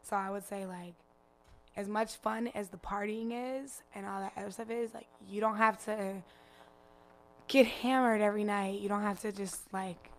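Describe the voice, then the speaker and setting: A raspy-voiced young woman from Chicago, chatting loosely as a guest musician, full of fillers and profanity. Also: mid-range voice, fairly even intonation, moderate pace, slight background noise. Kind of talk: casual interview chat.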